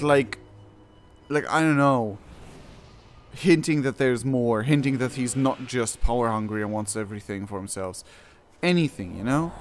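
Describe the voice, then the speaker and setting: Casual male gamer reacting, mid-pitched. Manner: acts out mock quotes, rambling. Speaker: gamer reacting on his channel. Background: faint game music.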